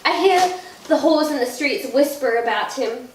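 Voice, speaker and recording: A woman's voice: sits high, normal speed, lightly acted. A woman playing a vain, giddy, petulant young Regency wife in a theatrical monologue, with affected, genteel, dramatic delivery. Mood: aggrieved. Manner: affected, dramatic, genteel old-fashioned phrasing. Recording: echoey room, distant mic, faint noise.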